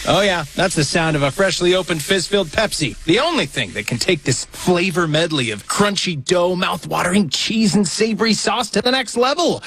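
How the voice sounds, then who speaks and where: A man's voice: high voice, animated, normal speed, hardly any pauses, fairly bright tone, slight background noise. A man voicing a playful radio commercial, savoring every word. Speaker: voice-over announcer.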